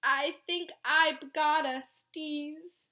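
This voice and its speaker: A woman's voice: high-pitched, steady tone, drawn-out words, bright, forceful tone, voice pushed up, heavily acted. A woman doing a stuffy-nosed little flea. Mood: sniffly. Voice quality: stuffy, blocked-nose voice. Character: small stuffed-up male flea with a cold.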